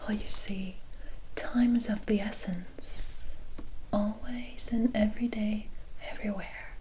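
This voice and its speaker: A woman playing a sly, mysterious witch in a whispered, breathy ASMR fantasy roleplay, teasing and deliberate as she addresses the listener. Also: medium pitch, fairly even intonation, dark tone, slight background noise, natural voice, rough recording. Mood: coy, unhurried. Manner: slow, deliberate, coy, theatrical, addressing the listener as "my dear".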